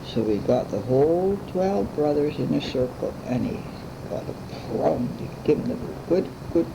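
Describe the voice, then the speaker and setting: A woman's voice: sits low, lively intonation, a little slow, vocal fry. An elderly grandmother telling bedtime stories informally, in simple, repetitive, homespun phrasing. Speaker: grandmother storyteller. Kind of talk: informal oral bedtime storytelling. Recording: noisy amateur home recording.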